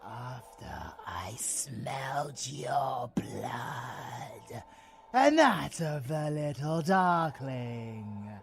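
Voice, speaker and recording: A man's voice: mid-range voice, creaky, full character voice. A British man playing a sadistic ancient male vampire in an audio roleplay, with a theatrical, mocking, taunting delivery. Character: sadistic grey-skinned ancient vampire monster, male, gleefully predatory. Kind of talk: audio roleplay villain acting.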